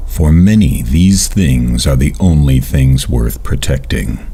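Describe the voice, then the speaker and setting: A man with a gravelly, husky voice giving solemn scripted narration for an audio drama. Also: a bass voice, animated, slow, warm tone.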